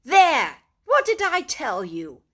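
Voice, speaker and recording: A woman voicing a kindly, excitable male rat who talks briskly and eagerly. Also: mid-range voice, creaky. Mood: excited.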